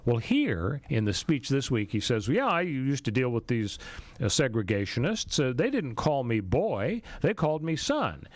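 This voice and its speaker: A man's voice: medium pitch, sing-song, moderate pace, dry recording. An American man hosting a radio talk show with conversational political commentary. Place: radio studio.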